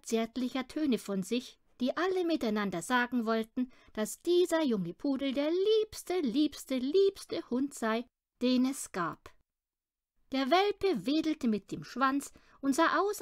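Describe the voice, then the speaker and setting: A woman's voice: sits high, dry recording, studio quality. A woman reading a children's audiobook, narrating the story expressively. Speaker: audiobook reader.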